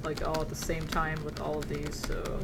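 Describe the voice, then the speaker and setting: Low-voiced woman chatting while painting. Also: completely flat delivery, moderate pace, heavy background noise. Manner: casual, thinking aloud while painting. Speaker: digital painting instructor. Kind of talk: live-stream painting tutorial.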